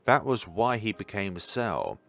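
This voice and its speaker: A man voicing a gentle, proud mother who reminisces wistfully about her son in a visual novel. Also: lowish voice, very animated, measured pace, creaky, soft background music, exaggerated voice. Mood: nostalgic.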